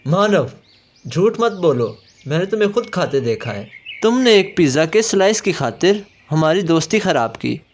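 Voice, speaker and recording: A man's voice: high-pitched, big pitch swings, moderate pace. A man voicing an earnest, emotive young male bird friend in a children's cartoon. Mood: hurt, accusing. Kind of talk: Hindi children's cartoon voice acting.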